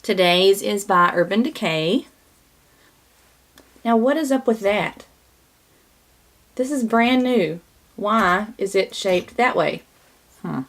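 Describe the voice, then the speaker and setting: A woman's voice: medium pitch, expressive, takes it slowly, long pauses, a bit bright. A woman, chatting bubbly through a makeup tutorial while her voice is scratchy from a cold. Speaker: beauty vlogger. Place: small home studio, indoors.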